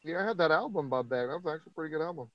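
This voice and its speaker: A man's voice: a little high, lively intonation, very fast, no room sound, muffled recording. A man chatting casually on a live stream, explaining things patiently step by step. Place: Texas.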